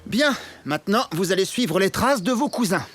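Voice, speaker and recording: A man playing a brisk, instructive adult wolf teacher in a French cartoon dub. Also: high-pitched, animated, music in the background. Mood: brisk teaching. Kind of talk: French animated series dub acting.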